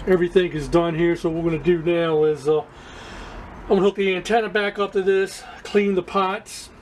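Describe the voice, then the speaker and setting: Higher-voiced man, halting and folksy, noisy recording. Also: steady tone, darkish tone. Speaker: hobbyist radio restorer hosting a repair video. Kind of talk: casual unscripted repair walkthrough. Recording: clearly noisy amateur recording.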